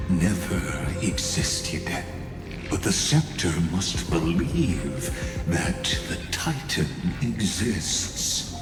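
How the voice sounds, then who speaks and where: In a long, echoing hall over clear music, a godlike mastermind villain from a video game holds forth. His voice is very low, and he is grandiose and deliberate, coldly courteous as he mocks and threatens. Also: full character voice. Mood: gloating. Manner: slow, grandiose, deliberate, mockingly polite.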